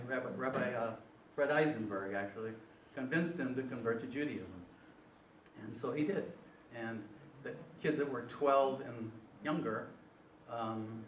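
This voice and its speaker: A man's voice: medium pitch, fairly bright tone. A man in his sixties shares his life story at a panel, rambling and often correcting himself. He sounds distant from the mic in a roomy, echoing hall. A faint noise sits underneath. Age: 60s. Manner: rambling, self-correcting, hesitant. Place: event hall. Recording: echoey room, far from mic.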